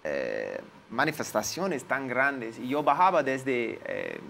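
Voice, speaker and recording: A man in his forties with an American accent, a native English speaker, recalling his experiences in an interview and pausing to search for words. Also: medium pitch, wildly swooping pitch, gravelly, a bit bright, slight background noise. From United States. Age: 40s. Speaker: lawyer, interview guest. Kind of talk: interview, personal recollection.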